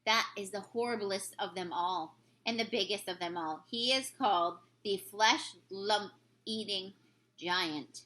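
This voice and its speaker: Woman voicing a gentle, bright friendly giant. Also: mid-range voice, a little slow, voice pushed down, low-quality recording. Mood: hushed, wary. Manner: mangled grammar, quaint made-up words. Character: big friendly giant, gentle, nervous, folksy male.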